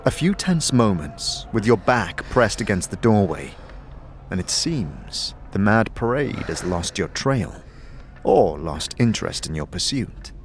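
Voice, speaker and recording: A man narrating a fantasy audio drama in the second person, theatrical and deliberate, in short measured clauses. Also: on the low side, sing-song, slight background noise. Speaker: narrator and lead player of an audio series.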